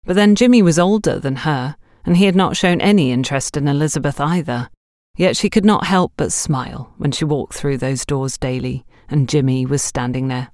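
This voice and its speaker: A woman's voice: low voice, moderate pace, darkish tone, dry recording, studio quality. A woman reading a scripted story as an audiobook narrator.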